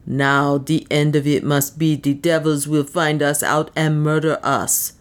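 A man's voice: a little high, little pitch movement, unhurried, lightly acted. A woman voicing a blunt, callous male servant in an audiobook, complaining and grumbling. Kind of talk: audiobook character speech.

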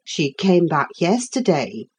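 A woman's voice: on the low side, sing-song, slow, breathy edge, exaggerated voice. A woman reading aloud, voicing a blunt, grumbling older cook with working-class speech.

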